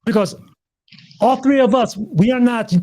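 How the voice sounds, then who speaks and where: A man's voice: very high voice, measured pace, mellow. A man hosting a casual talk, hesitant and searching for words, who often restarts his sentences. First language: not Taiwanese, lives in Taiwan. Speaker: podcast host. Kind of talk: casual conversation.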